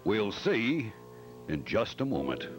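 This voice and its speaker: Animated male old-time radio announcer. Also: on the low side, takes it slowly, over music. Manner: dramatic, emphatic salesmanship.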